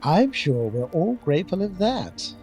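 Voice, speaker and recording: An actor playing a wealthy retired executive as an effusive, eager adventurer who savors florid words, in an audio drama. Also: male voice, high-pitched, very animated, measured pace, a little mellow, soft background music, heavily acted. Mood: genteel.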